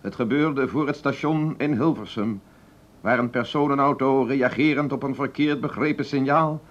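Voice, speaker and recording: A man's voice: mid-range voice, natural voice. An actor as a solemn male radio reporter. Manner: grave, measured, formal. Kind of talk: scripted radio narration within a drama. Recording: dull old radio recording.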